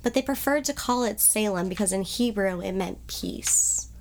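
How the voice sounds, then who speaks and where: A woman's voice: medium pitch, normal speed. Nasally stuffed-up woman on a podcast, giggly, rambling thru some history. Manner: bubbly, self-deprecating, laughs often. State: congested from a cold. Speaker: podcast co-host. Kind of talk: casual chat, telling history.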